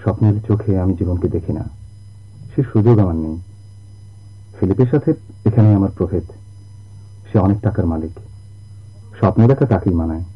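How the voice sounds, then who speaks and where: An actor playing a proud, jealous young sailor in love, speaking earnestly and poetically in a radio drama. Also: male voice, deep voice, fairly quick, long pauses, husky. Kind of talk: Bengali radio drama acting. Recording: tinny, narrow-band old radio recording.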